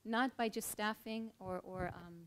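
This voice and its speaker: A woman's voice: medium pitch, fairly even intonation, measured pace. A middle-aged woman from Israel, speaking earnestly on a panel as an HR leader and telling a personal story.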